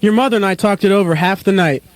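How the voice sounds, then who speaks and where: A man's voice: sits high, fast talker, no room sound, lightly acted, low-quality recording. An actor playing a decent, pleading, middle-aged father in a comedic radio play parody. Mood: fatherly.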